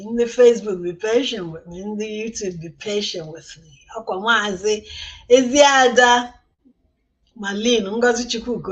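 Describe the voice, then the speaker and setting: A woman's voice: medium pitch, highs cut off. Coaxing Nigerian Igbo woman on live stream. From Igbo land, southeastern Nigeria. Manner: apologetic, coaxing viewers to join, unhurried. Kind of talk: live-stream chat, mixing Igbo and English.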